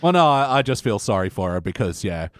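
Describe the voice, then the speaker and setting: A man's voice: on the low side, very animated, fast talker, smooth, no echo, studio quality. A man co-hosting a podcast in casual conversation, blunt and sweary, often questioning things. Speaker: podcast co-host. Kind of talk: casual chat reviewing a TV show.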